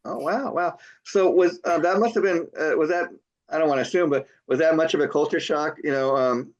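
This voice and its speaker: An older man hosts the interview, stammering and doubling his words while reacting with eager wows. His voice sits somewhat high for a man. The sound is dull and lo-fi. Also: fairly quick. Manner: stammering, repeats words, enthusiastic "wow". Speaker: TV interview host. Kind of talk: casual interview talk.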